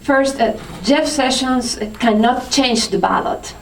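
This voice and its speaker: Panel lady answering a question, kinda high voice, far off in a roomy hall, lots of uhs. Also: takes it slowly, a little hiss or hum. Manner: hesitant, many fillers and restarts. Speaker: conference panelist. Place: conference room. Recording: roomy, distant from the mic.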